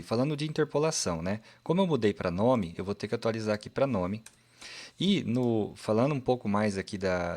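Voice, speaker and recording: A man, walking through a coding lesson step by step and often checking in with the listener. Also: lowish voice. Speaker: programming instructor. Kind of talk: screen-recorded coding tutorial.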